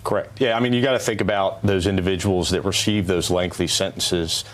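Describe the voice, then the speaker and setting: Ex-cop guest, male, very deep, serious, halting answers. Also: fairly even intonation. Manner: halting, serious, many fillers. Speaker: former police officer, interview guest. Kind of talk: broadcast interview.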